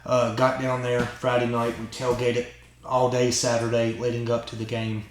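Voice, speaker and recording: A man hosting his own channel tells a long story in a casual, excited way, rambling and often tagging lines with "right?" and "man". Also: medium pitch, normal speed, slight background noise. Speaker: channel host. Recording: roomy echo.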